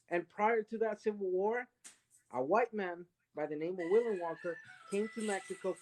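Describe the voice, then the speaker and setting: A woman's voice: lowish voice, slight background noise, poor audio. Emphatic Latin American woman lecturing. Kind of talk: impassioned live-stream argument.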